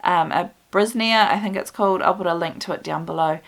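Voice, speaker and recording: A woman with a New Zealand accent hosts a casual homestead tutorial from a small music studio. Her voice is croaky and gravelly and sits fairly low. She talks in a loose, chatty way, with plenty of ums. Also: brisk, fairly bright tone. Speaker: homestead video host.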